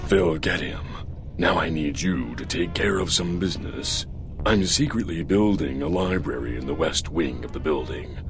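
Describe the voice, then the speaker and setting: A man voicing a commanding, scheming robot newspaper boss in a video game, giving deliberate orders. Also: very deep voice, over music. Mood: commanding, secretive. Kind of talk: video game character acting.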